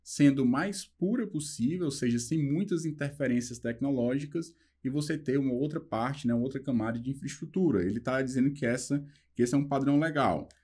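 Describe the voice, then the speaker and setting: A man's voice: mid-range voice, warm tone, slightly rough. Brazilian man teaching programming, easygoing. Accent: Brazilian Portuguese. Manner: talks loosely with fillers, slows down reading English passages. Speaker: programming instructor. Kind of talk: casual explanatory lesson, reading and translating a book.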